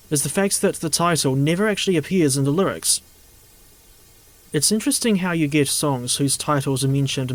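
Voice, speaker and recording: Measured New Zealand man narrating. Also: mid-range voice. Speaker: video essay narrator. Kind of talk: scripted narration.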